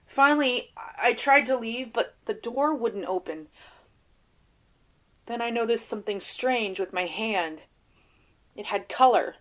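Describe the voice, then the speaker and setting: A haunted field agent with a fairly high voice. She is weary and guarded, talking in halting, casual phrases as in an audio drama. The recording is a little dull. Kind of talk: audio drama interview acting.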